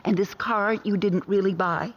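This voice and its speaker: Woman acting a brisk detective's assistant lady, medium pitch, matter-of-fact, teasing, old-style radio drama. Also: fairly even intonation, dull audio, close to an everyday voice. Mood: dryly needling. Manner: matter-of-fact, gently needling, precise.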